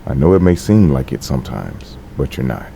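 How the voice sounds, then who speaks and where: A man acting a gruff but tender veteran with a gravelly voice in an audio drama, speaking gently and haltingly. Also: extremely low pitch, sing-song, full character voice. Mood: earnest, encouraging. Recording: faint background noise.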